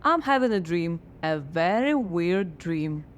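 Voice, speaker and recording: A woman's voice: lowish voice, lively intonation, slow, slight background noise. A woman playing a sardonic frazzled writer. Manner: sardonic, dry, skeptical. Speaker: scriptwriter. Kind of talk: radio drama acting.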